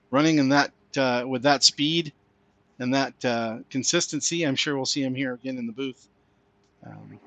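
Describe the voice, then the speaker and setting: A man's voice: medium pitch, a bit bright. A man hosting a sim-racing broadcast, interviewing drivers after the race in an easygoing way with fillers. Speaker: race commentator host.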